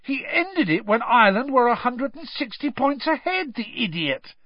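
Casting: hot-headed boy fan for a storybook, read by a man, high exasperated bellow, slightly muffled sound. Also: sing-song, moderate pace, no echo, heavily acted.

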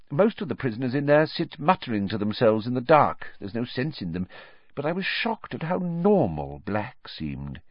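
A man's voice: medium pitch, no room sound, muffled recording, voice pushed up. A man reading an audiobook and voicing a fussy, self-important middle-aged wizard minister who talks in a pompous, rambling way. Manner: pompous, anecdotal, then evasive.

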